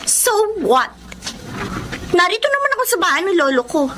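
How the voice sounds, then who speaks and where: A woman's voice: very high-pitched, sing-song, moderate pace, sharp tone, slight background noise, full character voice. A woman playing a sulky, hurt teenage daughter in an emotional Filipino drama style. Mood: defiant. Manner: emotional, melodramatic. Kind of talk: Filipino radio drama acting. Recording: dramatic music behind the voice.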